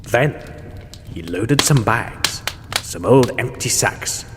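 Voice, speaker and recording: A man's voice: medium pitch, expressive, slow pace. A man narrating a rhyming story dramatically as the storyteller of a show. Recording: echoey hall, noisy background.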